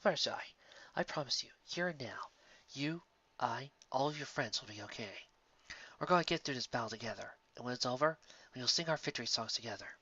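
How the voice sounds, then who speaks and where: A storyteller playing a kind, calm young male stag who speaks softly and reassuringly. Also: slightly rough, far from the mic, lo-fi sound, exaggerated voice. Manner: soft, low-key, gentle, measured.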